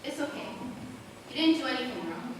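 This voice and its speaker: A woman's voice: high voice, sing-song, fairly quick. A young woman playing a troubled, guarded teenage girl in an amateur school stage play. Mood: gentle, probing. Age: teen or young adult. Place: school stage, recorded from far off. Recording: echoey stage, far mic, noisy.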